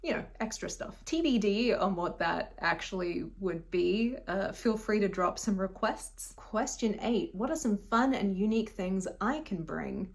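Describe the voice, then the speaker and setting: A woman's voice: mid-range voice, measured pace, few pauses. A woman in her thirties with a slightly unusual Australian accent, chatting casually to camera in a rambling, self-deprecating way. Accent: Australian, a bit unusual. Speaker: booktube vlogger. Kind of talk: casual direct-to-camera vlog.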